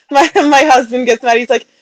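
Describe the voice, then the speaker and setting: A woman's voice: high-pitched, fairly even intonation, normal speed, no echo, low-quality recording. A woman in her thirties, a guest in a casual interview, chatting about her life with frequent laughter. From Utah, raised also in Sweden and England. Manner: chatty, laughs often, warm storytelling. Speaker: guest, coaching client.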